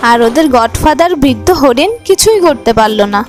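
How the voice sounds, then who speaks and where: A woman with a high-pitched voice narrates a children's story. She tells it as a storyteller, with music clearly audible behind her. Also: expressive, a little fast.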